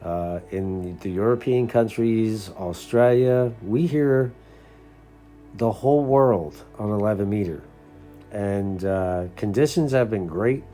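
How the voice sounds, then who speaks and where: Low-voiced American man, mellow, talking casually. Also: slow, faint music behind. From United States. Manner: earnest, conversational, explanatory. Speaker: CB radio hobbyist and video host. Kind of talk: casual unscripted talk.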